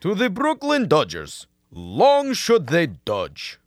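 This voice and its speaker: An actor playing a hapless, soft-hearted Soviet security man, naive and reluctant, with formal, earnest phrasing in a radio comedy. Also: high-pitched, huge pitch swings, takes it slowly, no room sound, clean studio sound, full character voice. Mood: reluctant, tipsy warmth.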